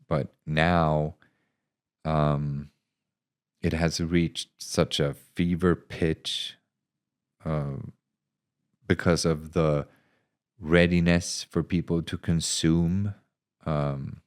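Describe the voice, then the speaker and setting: A man narrating and reflecting on his own writing in an unhurried, thoughtful way. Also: very deep voice, a little mellow, clean tone. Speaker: author reading own short story. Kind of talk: scripted narration and casual reflective talk.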